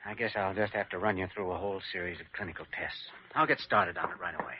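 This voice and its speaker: An actor playing an earnest, reserved younger hospital doctor in a vintage radio drama, speaking in a measured, formal and courteous way. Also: male voice, low-pitched, natural voice. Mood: calm, attentive. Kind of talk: 1940s radio drama acting. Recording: muffled, noisy vintage radio recording.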